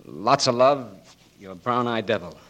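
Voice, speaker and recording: A man's voice: medium pitch, lively intonation, a little slow, a bit bright, highs cut off. An actor playing a brash, slang-talking young Marine patient who has lost his sight, in a 1940s radio drama. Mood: tender. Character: young wounded Marine, cocky tough-talking, blinded.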